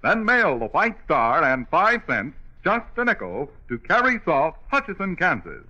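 Bright, high-pitched American radio pitchman. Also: big pitch swings, a little slow. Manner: enthusiastic salesmanship aimed at children. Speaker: commercial announcer. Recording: dull old radio broadcast recording.

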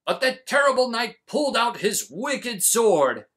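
A man voicing a determined storybook boy who reads a tale aloud in a booming, theatrical way. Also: sing-song, a little slow, fairly bright tone, full character voice. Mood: dramatic, bold.

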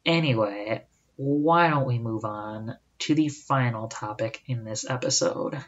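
A young man hosts a solo podcast at home. He rambles in a slow, easygoing way, circling back with fillers and restarts. His headphones are on, and the audio sounds a little muffled and lo-fi. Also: medium pitch. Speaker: podcast host. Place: small room at home.

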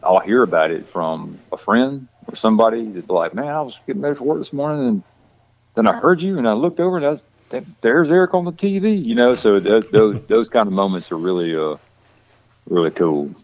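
A man's voice: fairly low pitch, expressive, fairly quick, poor audio. A man in his thirties from Georgia with a Southern country accent, chatting casually as an actor guest, rambling with many restarts. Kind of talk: casual chat.

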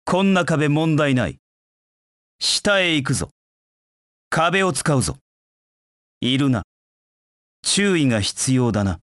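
Video game dark knight, aloof, curt, dry close sound, lively pitch swings. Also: male voice, takes it slowly, pauses often, dry recording, heavily acted. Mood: confident, aloof. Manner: curt, haughty, disdainful. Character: proud, cold male dark knight, aloof and confident. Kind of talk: video game voice acting in short battle lines.

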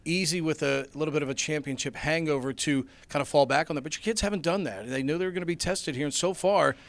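Polished middle-aged sports interviewer. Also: male voice, fairly high pitch, fast talker, no echo. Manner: polished, long setup questions. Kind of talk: postgame interview. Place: indoors before a sponsor backdrop. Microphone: handheld mic.

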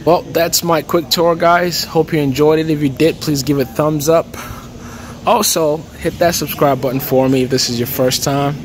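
A man giving a casual, relaxed walkaround tour as a vlogger, filling pauses with "okay" and "uh", recorded on a handheld phone in a big indoor hangar. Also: fairly high pitch, a little hiss or hum. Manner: relaxed, chatty, lots of "okay" and "uh". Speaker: aviation vlogger. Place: large aircraft hangar at an expo, indoors. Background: music and crowd chatter.

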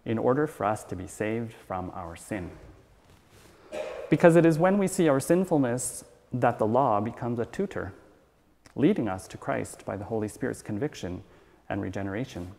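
A middle-aged man reading a written sermon aloud as a church elder, in a measured, earnest manner. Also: medium pitch, animated, creaky, a little mellow. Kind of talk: read sermon. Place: church sanctuary at a wooden pulpit, indoors. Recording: reverberant church hall.

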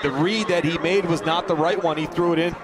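A man calling a college football game play by play, brisk and rising with excitement on big plays. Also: on the high side, music in the background. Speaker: play-by-play announcer. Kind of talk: live football play-by-play commentary. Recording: broadcast with loud crowd and noise behind.

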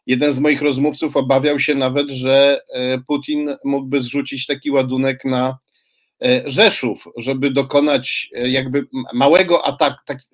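A middle-aged man putting a long interview question on a video call, halting and repeating words as he goes. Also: medium pitch, little pitch movement. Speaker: interviewer, journalist.

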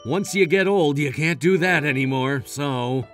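A man voicing an earnest, loyal young gangster in an anime-style cartoon, presenting to viewers with animated delivery. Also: a little high, unhurried, soft background music, natural voice.

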